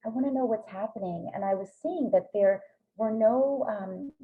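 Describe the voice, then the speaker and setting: A woman's voice: fairly high pitch, normal speed. A woman whose first language is Greek, giving an academic webinar talk in an earnest way with frequent ums. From Greek American family. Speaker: presenting researcher. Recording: tinny phone-like line.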